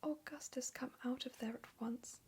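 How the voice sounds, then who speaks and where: A woman's voice: high voice, steady tone, normal speed, darkish tone, breathy edge, voice pushed up. A woman in a whispered storybook reading, voicing a frantic, scolding mother.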